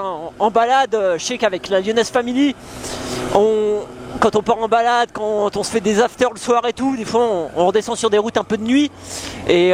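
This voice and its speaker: A young man chats casually in slang, hesitating and thinking aloud as a motorcycle vlogger. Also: high-pitched. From France. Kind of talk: casual chat. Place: outdoors on a moving motorcycle. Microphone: helmet intercom microphone. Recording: clear vehicle and wind noise, helmet intercom.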